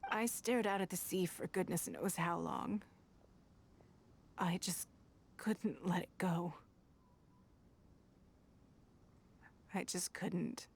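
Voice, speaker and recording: An actress playing a poised, wealthy noblewoman leader in a video game, speaking in measured, formal phrases with private wistfulness. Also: on the low side, steady tone, gravelly, breathy edge, exaggerated voice. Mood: quiet grief. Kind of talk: scripted video game dialogue acting. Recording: music behind the voice.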